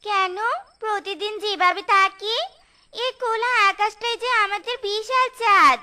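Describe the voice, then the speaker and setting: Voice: very high voice, a little slow, pushed and bright, exaggerated voice. A performer voicing a poor beggar wife in a cartoon, devoted, humble and resigned, with a folksy, coaxing, pleading manner. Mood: tender. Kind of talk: melodramatic cartoon story dialogue.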